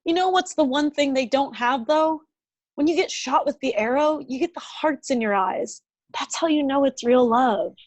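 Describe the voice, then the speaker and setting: Actress doing impulsive lovestruck woman, real high voice, earnest, casual comedy table read. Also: normal speed, lightly acted. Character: Maggie, earnest, impulsive woman chasing love.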